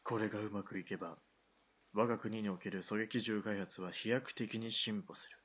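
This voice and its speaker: Husky, low-voiced calculating army officer played by a man. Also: steady tone, a bit bright. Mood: measured, self-justifying. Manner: measured, condescending officer's speech. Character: smooth-talking, calculating middle-aged army lieutenant colonel. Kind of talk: radio drama acting.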